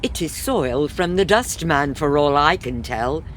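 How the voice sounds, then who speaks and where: A woman's voice: low-pitched, expressive, measured pace, a little hiss or hum. A woman acting a sensible, dryly exasperated middle-aged wife in a comic period farce. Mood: dryly practical.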